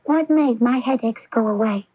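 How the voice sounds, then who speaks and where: A woman's voice: on the high side, moderate pace, no echo, lightly acted. A woman playing an earnest, lovelorn young woman in a 1980s radio drama, intense, vulnerable and pleading. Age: young adult. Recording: dull lo-fi 1980s AM radio recording.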